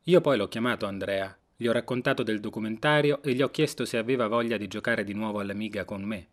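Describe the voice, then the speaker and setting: A man's voice: lowish voice, fast talker. A man in his late thirties from Rome, reading scripted first-person radio narration. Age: late 30s. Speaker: documentary author and narrator.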